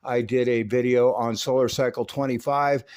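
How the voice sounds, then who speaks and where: A man's voice: medium pitch, steady tone, moderate pace, darkish tone. A man narrating his own hobby video in a casual, folksy and self-assured way, with slangy turns of phrase.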